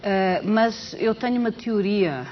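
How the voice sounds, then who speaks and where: A woman's voice: medium pitch, takes it slowly. A woman from Portugal with a European Portuguese accent, talking animatedly as an interview guest, with frequent restarts. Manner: animated, storytelling with many restarts. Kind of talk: casual interview chat. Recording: dull lo-fi TV studio audio with faint hiss.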